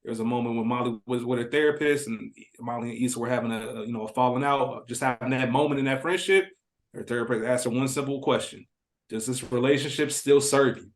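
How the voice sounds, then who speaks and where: A man's voice: mid-range voice, fast. A man speaking as a podcast guest in a casual, reflective and slangy conversational way.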